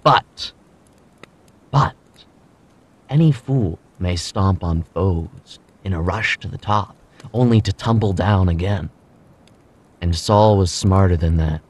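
An actor playing a ruthless, loyal follower in an audio drama, brooding and deliberate, with emphatic pauses. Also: male voice, deep voice, breathy edge, heavily acted. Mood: admiring, intense. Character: ruthless, loyal male follower recounting a strongman's rise.

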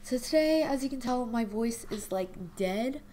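A young woman hosting a casual game video, her voice hoarse and quiet from a cold, rambling with lots of fillers. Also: on the high side, expressive, measured pace, a little hiss or hum. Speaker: gaming channel host. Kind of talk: casual chat over gameplay. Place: home desk at night.

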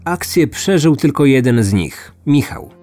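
A man's voice: mid-range voice, lively intonation, measured pace, mellow. Male true-crime narrator over music. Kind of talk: scripted narration. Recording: music under the voice. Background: background music.

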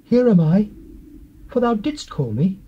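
A performer playing an obedient young boy servant in a dramatized Bible, earnest and soft-toned. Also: mid-range voice, sing-song, a little slow. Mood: eager, obedient. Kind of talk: dramatized Bible audio acting. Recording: dull, noisy old cassette.

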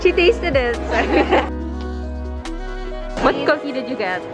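A woman's voice: high voice, big pitch swings, slow pace, muffled recording. A teenage girl from the Philippines, chatting and teasing her family as she vlogs in a busy mall. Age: teens. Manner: giggly, teasing. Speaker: vlogger. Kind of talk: casual family vlog chat. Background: loud music and crowd chatter.

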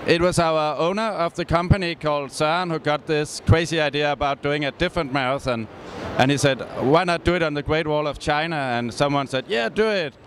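A man in his thirties representing a race company, answering in a relaxed way with short answers and fillers, speaking into a handheld mic at a busy expo. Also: on the high side, a little fast. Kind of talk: expo interview. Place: busy indoor expo hall. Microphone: handheld mic close-up. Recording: noisy expo hall background.

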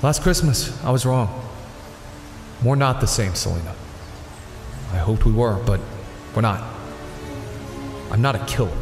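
An actor playing an earnest, guilt-ridden older vigilante hero in an audio drama, pleading yet resolute. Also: male voice, on the low side, animated, a little slow, pauses often. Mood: regretful. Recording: echoey, reverberant hall sound. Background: music.

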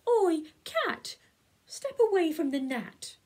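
A woman voicing a smug, bossy male frog in a read-aloud picture book, loud and high. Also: sing-song, a little slow, breathy edge, heavily acted.

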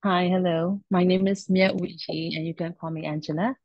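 A woman's voice: on the low side, fairly even intonation, moderate pace, no echo. A woman from Myanmar, a college center director, introducing herself politely on a video call. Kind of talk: formal self-introduction. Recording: dull, dry video call audio.

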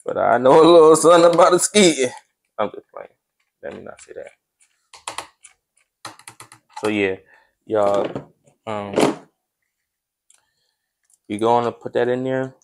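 A man's voice: mid-range voice, a little slow, vocal fry, pauses often. Laid-back young man vlogging casually. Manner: laid-back, chatty, addresses viewers as y'all. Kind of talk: casual vlog chat. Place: home kitchen, indoors.

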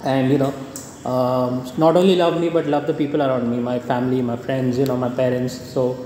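A man's voice: mid-range voice, little pitch movement, fairly quick, dark tone. A young man, the groom, talking in an interview about his bride, hesitant with many fillers and restarts. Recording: echoey room with faint hiss.

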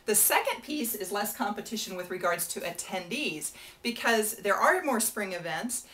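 Woman, 30s, American auctioneer; casual, friendly to-camera tips, small indoor room, mid-pitch voice. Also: a bit bright. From United States. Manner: friendly, chatty, gestures with her hands. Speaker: benefit auctioneer giving advice. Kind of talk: casual to-camera advice talk.

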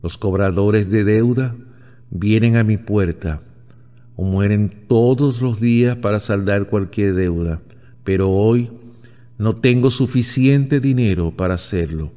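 A man slowly reciting a devotional prayer in a solemn, measured way. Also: lowish voice, dark tone. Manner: slow, solemn, devotional delivery. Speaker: prayer narrator. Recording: long hall reverb.

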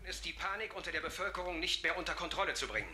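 A man's voice: on the high side, lively intonation, very fast, a crowd in the background, lightly acted. An actor playing an urgent male newsreader reporting a disaster within an audio drama. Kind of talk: news bulletin in audio drama.

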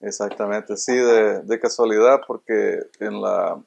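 A man's voice: fairly low pitch, fairly even intonation, measured pace, gravelly, a little mellow, slight background noise. A middle-aged man from Honduras, a robotics engineer, answering interview questions earnestly and often repeating words as he looks for them, seated indoors among robots. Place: indoor room full of robots.

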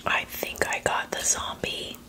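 A woman in her thirties whispering in a hushed, breathy ASMR style, close to the microphone. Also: measured pace. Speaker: ASMR creator tasting candy. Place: small indoor setup with fabric backdrop. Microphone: close tabletop microphone.

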